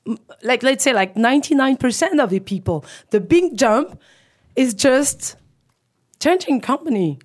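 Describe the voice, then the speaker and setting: Woman talk show host, kinda high voice, chatty and teasing, lots of "like" and "i mean". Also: lively intonation, unhurried. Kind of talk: casual interview.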